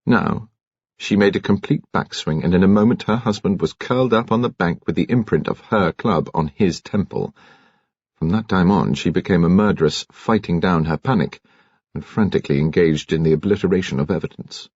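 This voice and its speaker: A man's voice: deep voice, normal speed, a little mellow, slightly rough, no room sound, highs cut off. A British man reading a detective novel aloud as scripted, dramatic audiobook narration.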